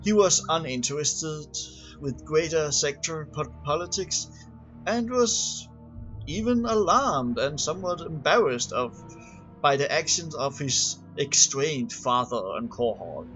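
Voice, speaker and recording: A man reading a scripted narration aloud in a halting way, often stumbling over and repeating words. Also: a little high, expressive, fairly bright tone. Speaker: amateur reader of game lore. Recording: dull lo-fi home recording, music behind. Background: background music.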